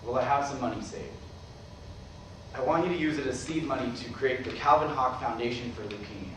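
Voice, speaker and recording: A man acts as a dying former professor in a stage drama. He is dry, defensive and quick to argue with his brother. The recording is very echoey and distant from the microphone. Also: medium pitch, fairly bright tone, close to an everyday voice. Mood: earnest. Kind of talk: staged play reading. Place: staged reading filmed in a roomy venue. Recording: echoey room, far mic, noisy.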